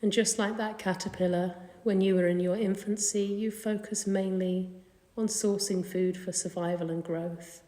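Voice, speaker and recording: A woman leading a guided meditation in a gentle, soothing, unhurried manner. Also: mid-range voice, steady tone. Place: indoor carpeted room. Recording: echoey room with long reverb.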